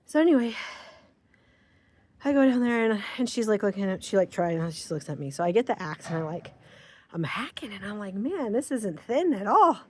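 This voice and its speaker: A woman's voice: mid-range voice, very animated, moderate pace, a little mellow, dry recording. A woman telling a rambling, chatty story outdoors in the snow, a little short of breath from the cold. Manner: rambling, chatty, with many fillers and quoted asides. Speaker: vlogger. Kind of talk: casual outdoor vlog storytelling. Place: outdoors on a snowy rural property.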